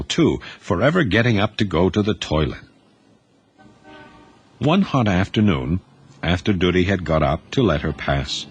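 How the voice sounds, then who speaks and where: A man narrating an audio drama in expressive, scripted storytelling. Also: deep voice, a little hiss or hum.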